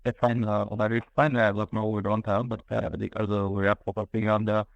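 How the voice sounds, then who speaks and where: A man with an Indian accent speaks as an analyst on a conference call. His voice is low and flat, and he gropes for words as he asks. The sound is dry and close. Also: vocal fry, dry recording, dull audio. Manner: halting, searching for words. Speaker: investment analyst caller. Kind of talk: business questioning on a call. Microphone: conference call line.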